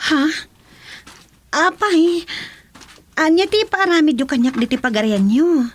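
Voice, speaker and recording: Actress as a very high-pitched, wondering young woman. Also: lively intonation, unhurried. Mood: curious. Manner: wondering, questioning. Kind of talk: radio drama acting.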